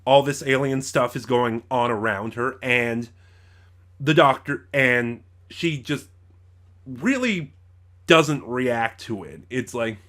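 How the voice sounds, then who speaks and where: Man giving a halting, informal review. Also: medium pitch, fairly bright tone. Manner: halting, conversational, circles back and rephrases. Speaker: reviewer and host of his own channel. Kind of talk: informal review to camera or mic, loosely scripted.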